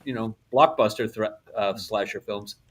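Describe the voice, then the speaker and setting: A man's voice: fairly low pitch, normal speed. A middle-aged man chatting casually as a member of a discussion panel. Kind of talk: casual chat.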